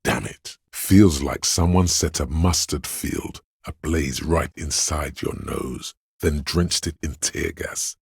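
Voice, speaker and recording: Very deep, gravelly embodiment of pain. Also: male voice, no echo, clean studio sound, full character voice. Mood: brooding, pained. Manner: brooding, sardonic, deliberate. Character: inner voice of pain, a grim sardonic goading presence. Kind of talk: dramatic second-person game narration.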